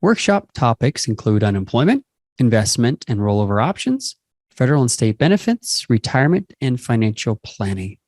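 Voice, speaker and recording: Male interview host, mid-pitched voice, conversational presenter delivery, dry recording with little room sound. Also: big pitch swings, normal speed, darkish tone, no echo. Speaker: show host. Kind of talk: podcast hosting, interviewing.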